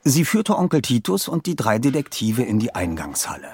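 Male narrator for scripted fiction, medium-pitched with lively melody, measured and expressive. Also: husky, no echo, faint music behind. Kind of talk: scripted audiobook storytelling with voiced dialogue.

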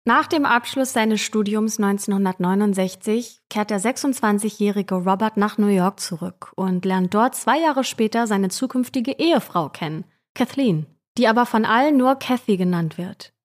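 A woman's voice: mid-range voice, moderate pace, hardly any pauses. A woman narrates as co-host of a true-crime podcast. Her delivery is scripted yet conversational, like storytelling aimed straight at listeners.

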